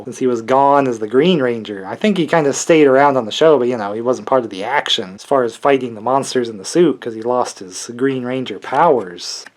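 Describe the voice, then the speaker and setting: A man's voice: mid-range voice, darkish tone. A man around his thirties or forties giving casual, chatty commentary as he hosts a collector's unboxing video, with wry, joking asides.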